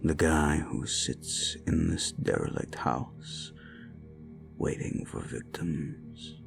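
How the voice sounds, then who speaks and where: A man playing a cruel, menacing slasher killer in a horror audio roleplay, taunting a captive in a gravelly, breathy half-whisper. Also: rumbling low, takes it slowly, exaggerated voice. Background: music.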